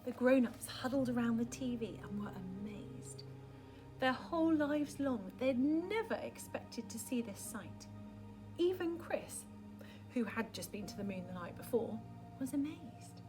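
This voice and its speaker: A woman with a high voice reads a children's picture book aloud in the manner of a primary school teacher. Her delivery is dramatic and expressive, often softening to a near-whisper. Music plays behind her. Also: a little slow, rough recording. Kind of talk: expressive children's storybook reading.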